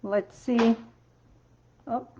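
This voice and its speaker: Brief: woman host with a French accent, high and unhurried, chatty livestream demo, roomy home craft-room sound. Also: fairly even intonation, mellow, a little hiss or hum. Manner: chatty, rambling, loose non-native grammar. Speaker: card-making livestream host. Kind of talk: casual live demo chat. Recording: roomy, slightly dull sound.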